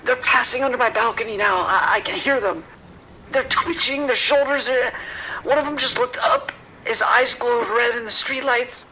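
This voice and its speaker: A man's voice: very high-pitched, moderate pace, bright, forceful tone, slight background noise, full character voice, low-quality recording. A man playing a frightened, shaken civilian calling emergency services in a horror audio drama, stammering and anxious. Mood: terrified.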